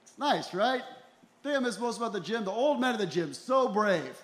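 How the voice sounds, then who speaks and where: A man in his forties doing a deadpan, self-deprecating stand-up routine into a handheld stage microphone. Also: sits high, brisk. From Ecuador and El Salvador. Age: 40s. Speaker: stand-up comedian. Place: small comedy venue in a tent, on stage. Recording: roomy live comedy club sound.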